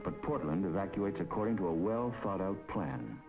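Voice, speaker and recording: A man giving grave, measured scripted narration for a 1950s civil defense documentary film. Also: deep voice, animated. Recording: dull 1950s film soundtrack with music.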